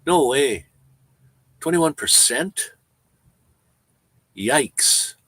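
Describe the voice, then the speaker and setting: A man around seventy with a Canadian accent, chatting casually on a livestream, rambling and halting with frequent restarts. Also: medium pitch, animated, a bit bright, slightly rough, no echo. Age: 70s. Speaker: van-life vlogger.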